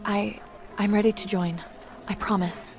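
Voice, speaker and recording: A woman's voice: mid-range voice, steady tone, measured pace, darkish tone, a little hiss or hum, lightly acted. An actress playing a weary, troubled young woman survivor in a video game, speaking in an earnest, hesitant, plain way. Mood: despairing. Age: young adult. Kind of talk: video game character acting.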